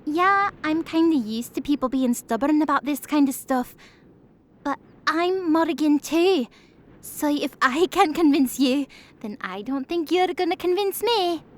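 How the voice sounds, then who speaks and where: A woman's voice: sits high, lively intonation, unhurried. An actress voicing a cheerful, caring young girl with a bubbly, earnest manner. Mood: determined.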